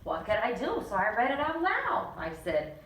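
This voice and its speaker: A woman co-hosting a children's bedtime story reading, reading aloud in a lively way and jumping high for the characters' lines. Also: normal speed, noisy background. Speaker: co-host and storyteller. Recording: echoey room, mic at a distance.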